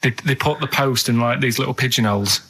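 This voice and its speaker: A man's voice: medium pitch, fast, fairly bright tone, slightly rough, dry recording, rough recording. Male radio producer-sidekick, Manchester accent, earnest and matter-of-fact, rambling casual chat. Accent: Northern English, Manchester. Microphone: radio studio microphone.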